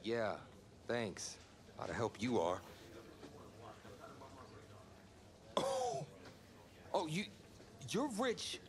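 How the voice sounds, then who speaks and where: A man's voice: medium pitch, wildly swooping pitch, slow pace. A young man acting an eager, flustered football prospect in a video game cutscene, earnest and wry. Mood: flustered, starstruck. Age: young adult.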